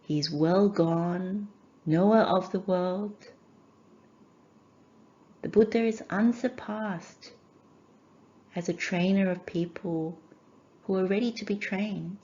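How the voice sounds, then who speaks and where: A woman teaching a guided meditation in measured, gentle phrases with short pauses. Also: fairly low pitch, lo-fi sound.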